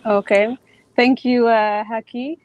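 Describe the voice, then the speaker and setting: A woman's voice: a little high, fairly even intonation, slow pace, dull audio, slight background noise. A woman in her forties from Tanzania, a native Swahili speaker, telling her own story casually on a video call. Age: 40s. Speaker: guest speaker, teacher.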